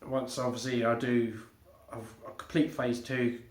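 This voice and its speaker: A man vlogging a casual home update, a little congested from illness, rambling with halting restarts and fillers. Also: medium pitch, flat delivery. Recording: echoey room.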